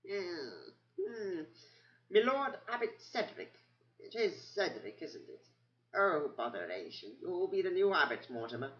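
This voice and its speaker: A man voicing an ancient male mouse scholar who squeaks near a whisper, doddering and forgetful. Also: huge pitch swings, a little slow, reverberant, lo-fi sound, exaggerated voice.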